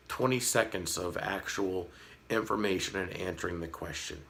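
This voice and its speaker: A man in his thirties with a slightly hoarse voice, talking frankly and earnestly to his viewers in a casual vlog, with a light sarcastic edge. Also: low-pitched, a bit bright. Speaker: fish-keeping vlogger. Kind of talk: casual talk to camera.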